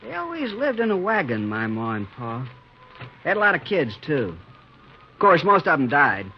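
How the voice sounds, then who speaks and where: A man's voice: a little high, huge pitch swings, normal speed. An American man playing a dim, childish and callous outlaw sidekick in a 1950s radio western, whiny and rustic, on a dull, noisy old recording. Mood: rambling. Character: simple-minded, unhinged male outlaw sidekick, childish and callous.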